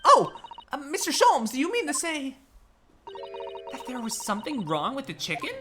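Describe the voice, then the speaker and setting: A woman's voice: high-pitched, wildly swooping pitch, moderate pace, background noise, pitched above the performer's own voice. Woman doing a flustered young lawyer. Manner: flustered, exclaiming. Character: young male defence lawyer, nervous, easily startled. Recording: game music playing underneath.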